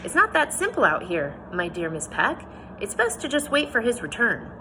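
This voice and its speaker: A woman voicing a genial, kindly older man living on the frontier, speaking in a gentle, reassuring way. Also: fairly high pitch, huge pitch swings, normal speed, a little hiss or hum, voice pushed up, exaggerated voice, poor audio. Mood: comforting.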